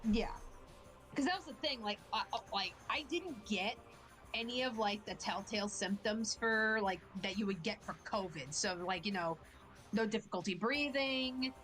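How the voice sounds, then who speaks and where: A woman's voice: medium pitch, normal speed, a bit bright, faint music behind, poor audio. A woman from Ohio with a nasal, stuffed-up voice from a cold, chatting casually on a stream. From Ohio, USA. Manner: chatty, uses lots of fillers. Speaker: stream co-host. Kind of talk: casual chat.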